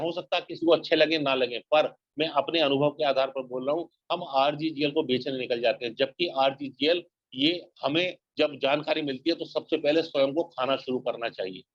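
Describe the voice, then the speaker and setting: A man's voice: a little high, no echo. Earnest man giving a motivational talk. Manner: earnest, exhorting, repeats points. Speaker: network marketing speaker. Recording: dull lo-fi, dry sound.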